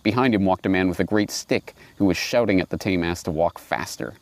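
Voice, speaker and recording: A man in his forties giving reflective commentary and reading aloud, deliberate and emphatic, recorded outdoors on a lapel mic. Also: low voice. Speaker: host and commentator. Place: outdoors on grass by trees and water.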